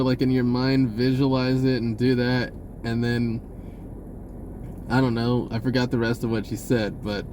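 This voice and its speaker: A man's voice: mid-range voice, darkish tone, a little hiss or hum. An American man telling personal stories casually and off the cuff as a self-help vlogger, rambling, slangy and often interrupting himself. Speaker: vlogger sharing spiritual self-help advice. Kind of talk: casual unscripted personal storytelling.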